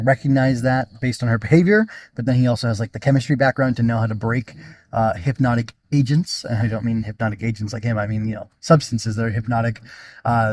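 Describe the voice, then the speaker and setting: A man's voice: mid-range voice, darkish tone, dry recording. A man podcast host giving a casual, unscripted solo review, thinking aloud and often interrupting himself as he rambles.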